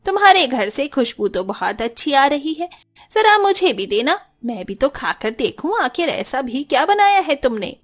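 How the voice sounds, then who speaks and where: A woman puts on a nosy neighbour auntie for a cartoon tale. She is effusive and forward, a food lover with a fairly high voice. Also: very animated, normal speed. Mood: nosy, eager. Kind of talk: Hindi cartoon story dialogue.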